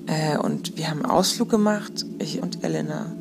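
A woman talking casually and haltingly, thinking aloud as if recording an audio diary. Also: low voice, creaky. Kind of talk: casual spoken audio diary, recounting dreams. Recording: noisy informal recording with ambient sound.

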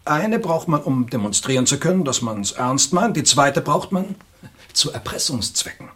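A man's voice: fairly high pitch, sing-song, moderate pace, sharp tone. Assured, lecturing male expert. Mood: instructive. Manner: lecturing, matter-of-fact. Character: knowledgeable male weapons expert, assured and instructive. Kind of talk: German radio play acting.

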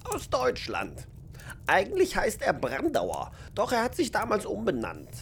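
An actor playing a kindly, jovial old gardener in a radio play, with a creaky, aged voice and a gently teasing, avuncular manner. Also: male voice, sits high, wildly swooping pitch, measured pace, slight background noise, exaggerated voice. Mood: friendly, explaining. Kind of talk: German radio-play acting.

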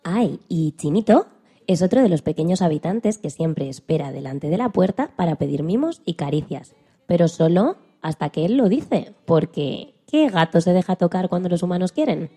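A woman's voice: fairly low pitch, animated, normal speed, mellow, breathy edge. A woman presenting on radio in a storytelling style of narration.

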